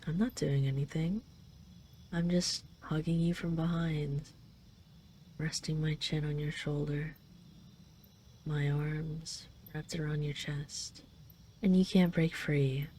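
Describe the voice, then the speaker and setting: A woman's voice: low-pitched, little pitch movement, takes it slowly, pauses often, dark tone, slight background noise. A woman voicing a possessive yet tender dragon queen in an intimate roleplay audio, teasing and coaxing the listener. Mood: teasing, affectionate.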